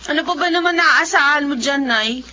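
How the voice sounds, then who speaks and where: A woman's voice: high-pitched, normal speed, bright, forceful tone. A woman playing a cheeky, dreamy young Filipino student daughter in a radio drama. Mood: playful, hopeful. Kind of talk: radio drama acting and first-person narration. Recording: lo-fi radio audio under music.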